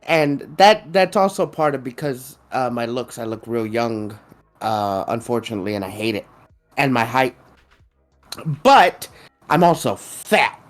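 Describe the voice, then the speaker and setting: A young man with a high, boyish voice that people mistake for a woman's. He talks slowly and drawn out in a relaxed podcast chat, laughing between phrases, with faint music in the background. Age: young adult. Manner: laughs often, slow and drawn-out. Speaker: podcast co-host. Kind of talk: casual chat.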